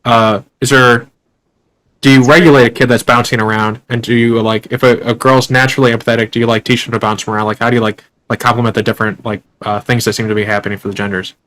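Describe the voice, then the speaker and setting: A young adult man hosts a casual interview at a quick clip. His questions ramble along, full of fillers and restarts. His pitch is mid-range for a man. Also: little pitch movement, fairly bright tone, poor audio. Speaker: podcast host.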